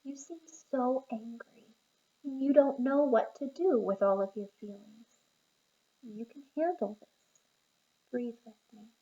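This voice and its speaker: A woman reading as a patient storybook teacher, speaking slow and soothing in a mellow, fairly high voice. The recording is a little dull and lo-fi. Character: calm, patient female teacher.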